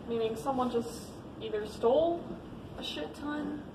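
A woman's voice: high voice, a little slow. A woman with a US Midwest Ohio accent, exploring an abandoned school with friends, curious and joking. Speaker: fellow explorer. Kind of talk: casual chat while exploring. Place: abandoned school building, indoors. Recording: distant, echoey and very noisy camera audio.

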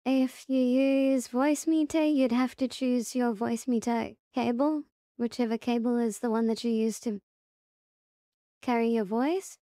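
A woman presenting a casual, step-by-step software tutorial in a plain-spoken, instructional way. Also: on the high side, steady tone, a little slow, no room sound, clean studio sound.

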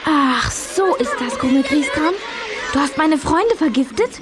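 A woman's voice: high-pitched, lively intonation, unhurried, a crowd in the background, noisy background. A woman playing a brave young girl heroine in a children's audio play, emphatic and accusing.

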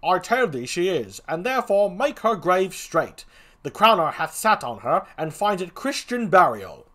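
A reader as a blunt, animated clown gravedigger sidekick. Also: mid-range voice, a little slow, voice pushed up. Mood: emphatic.

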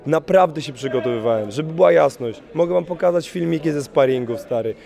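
A man's voice: fairly high pitch, expressive, fairly quick, gravelly, dark tone. A man in his thirties speaking casually in a post-fight interview at an event press wall, swearing and apologetic, into a handheld mic.

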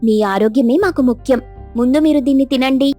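A woman's voice: high voice, a little fast, mellow, faint music behind. A woman voicing a naive, silly young daughter-in-law with an earnest manner in a dramatized cartoon story.

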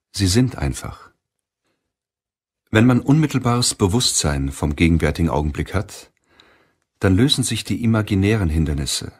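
A man reading an audiobook as scripted narration in a measured, deliberate way. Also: deep voice, husky.

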